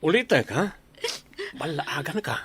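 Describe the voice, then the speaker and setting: A man's voice: fairly high pitch, sing-song, a little slow, crowd noise. An actor playing a menacing male predator in a radio drama, muttering threats over background music. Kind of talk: radio drama acting in Ilocano. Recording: music under the voice.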